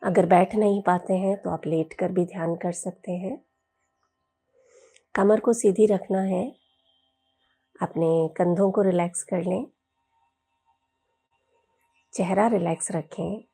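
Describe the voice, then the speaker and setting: Slow, mellow woman guiding meditation. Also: fairly low pitch, fairly even intonation, breathy edge, soft background music. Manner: soothing, gentle, unhurried with long pauses. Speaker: yoga teacher leading guided meditation. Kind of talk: guided meditation instruction.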